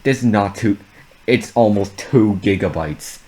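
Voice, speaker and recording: Low-voiced man doing casual gaming commentary. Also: measured pace, darkish tone. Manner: amused, reactive, talking through the task as it happens. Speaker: video creator narrating a game modding experiment. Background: background music.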